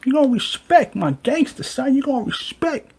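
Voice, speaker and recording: A man's voice: extremely high pitch, animated, moderate pace, voice pushed up. Man putting on a brash gangster dad. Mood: swaggering. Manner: brash, profane. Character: tough swaggering gangster dad laying down house rules.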